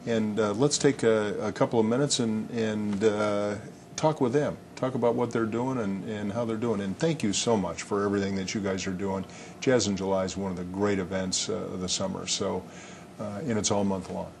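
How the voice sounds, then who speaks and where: A man hosting a casual city-TV interview, talking easily with frequent pauses and restarts. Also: lowish voice, vocal fry, a little hiss or hum. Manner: relaxed, many uhs and restarts. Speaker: host.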